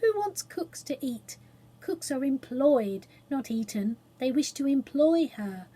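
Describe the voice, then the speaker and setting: Woman's performance of a talking bird, self-satisfied, soft-spoken, pompous, high-pitched, storybook style. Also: lively intonation, unhurried. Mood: calm, knowing.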